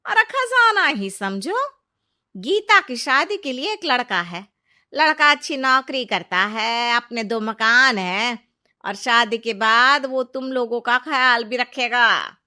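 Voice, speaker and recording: Woman voicing middle-aged cartoon auntie, high-pitched, piercingly bright, insistent, coaxing matchmaker. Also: animated, slow, heavily acted. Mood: eager. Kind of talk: Hindi cartoon dubbing.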